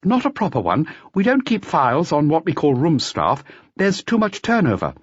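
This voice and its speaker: A man acts out a hotel or café worker in an audiobook, pitched up and very lively in intonation. The answers are brief and matter-of-fact, on a dry recording. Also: normal speed, breathy edge, no room sound, muffled recording, heavily acted.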